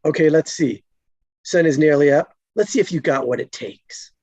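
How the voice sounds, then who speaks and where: A woman playing an easygoing, playfully boastful male cop in a comedy script read, teasing and laid-back with a husky, gravelly voice. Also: low-pitched, normal speed, darkish tone, no echo, dull audio. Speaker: actor in an online script table read. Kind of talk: live comedy screenplay table read.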